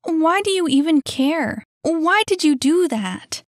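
Feisty runaway girl, acted by a woman narrator: high, sharp, suspicious and defiant storybook heroine. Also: expressive, takes it slowly, no echo, clean studio sound. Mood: irritated, defiant. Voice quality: high-pitched. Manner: sharp, exclamatory, challenging.

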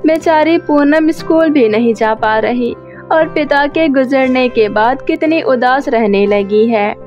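A woman voicing a worried widowed mother in an animated story, anxious and pleading. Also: high-pitched, takes it slowly, muffled recording. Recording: music under the voice.

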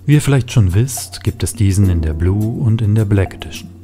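A man's voice: fairly low pitch, expressive, brisk, dark tone. A man delivering a scripted welcome as a channel announcer. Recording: clear music bed behind voice. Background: music.

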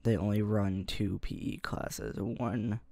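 A man playing an irritable, secretly smitten high school boy in an ASMR roleplay, grumbling and halting as he talks to the listener. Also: fairly even intonation, a little mellow, no echo. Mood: annoyed, grumbling. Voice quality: low, gravelly. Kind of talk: ASMR roleplay, one-sided dialogue to listener. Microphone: close mic, dry.

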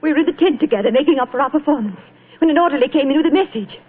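High-pitched, anxious wife. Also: female voice, lively intonation, racing, narrow, tinny audio. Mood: frightened. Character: frightened stage actress, anxious wife. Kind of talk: 1940s radio acting. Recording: vintage 1940s radio broadcast.

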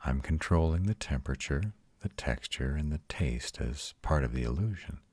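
A man playing a proud but tender vampire lover in an intimate comfort roleplay, speaking in a soothing, reassuring way with a breathy, husky voice. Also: very deep voice, lively intonation, moderate pace, a little mellow, dry recording, exaggerated voice. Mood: soothing, patient. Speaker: voice actor in a roleplay audio.

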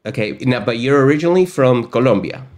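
A man's voice: mid-range voice, expressive, fast, darkish tone. A man in his fifties from Argentina, hosting an online lesson and interview in an upbeat, chatty way with frequent filler words, recorded at a desk in a home studio. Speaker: host. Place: home studio with gaming chair.

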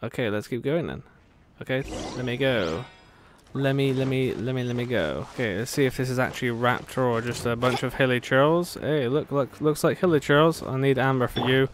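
A woman's voice: a bass voice, moderate pace, noisy background. A woman giving casual, sarcastic, chatty commentary while playing a video game. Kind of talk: casual let's play commentary. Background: game music.

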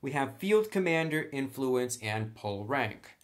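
A man's voice: medium pitch, sing-song, unhurried. Man casually walking through a tutorial. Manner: thinks aloud while writing on a sheet. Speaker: host of a tabletop game tutorial. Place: indoors at a table.

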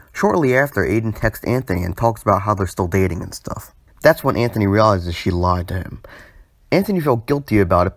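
Young guy narrating storytime stuff, lowish voice, kinda sardonic, dry close recording. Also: no echo. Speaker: storytime video narrator and host. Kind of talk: informal scripted storytelling.

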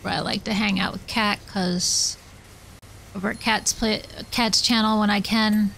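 Rambling woman streamer with gravelly voice. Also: mid-range voice, steady tone, unhurried, a bit bright, a little hiss or hum. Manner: rambling, self-deprecating, chatting with viewers. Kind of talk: casual chat while gaming.

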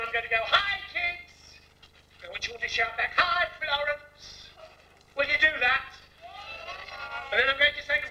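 A man's voice: extremely high pitch, bright, forceful tone, a little hiss or hum, full character voice. A man playing a brash, saucy older woman, a pantomime dame, with cheeky, declamatory patter that bosses and coaxes the audience. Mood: bossy, rousing. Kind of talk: theatrical music-hall pantomime patter to an audience. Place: theatre stage with painted scenery. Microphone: stand microphone, picked up from the auditorium. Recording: echoey theatre, distant old videotape sound.

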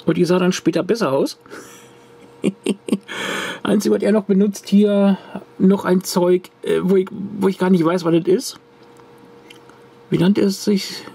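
A man's voice: high-pitched, steady tone, measured pace, vocal fry, pauses often, warm tone, husky. A man casually showing a corroded circuit card to the camera at his home workbench, rambling with restarts and fillers. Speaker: retro computer hobbyist. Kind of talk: casual show-and-tell vlog.

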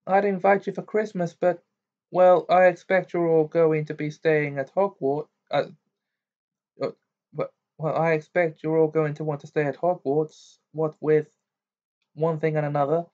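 A man voicing a kindly middle-aged mother in a storybook reading, coy, warm and fussing. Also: a little high, flat delivery, unhurried, muffled recording, pitched above the performer's own voice, close to an everyday voice.

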